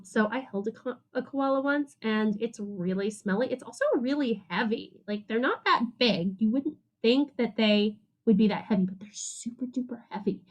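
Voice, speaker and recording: A woman teacher reading a picture book aloud to children in an animated, sing-song way, with simple, casual explanations. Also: fairly high pitch, dull audio.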